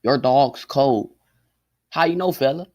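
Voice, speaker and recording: A man's voice: mid-range voice, measured pace, darkish tone, close to an everyday voice. A teenage boy acting a fawning, dim-witted gangster henchman in a student radio play. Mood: dim, eager. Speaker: student actor. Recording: noisy, clicking stage recording.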